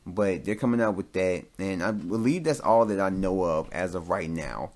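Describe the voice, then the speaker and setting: A man's voice: deep voice, moderate pace. A man hosting a podcast, giving casual, rambling opinions in an informal, mildly profane way as he thinks aloud. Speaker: podcast host.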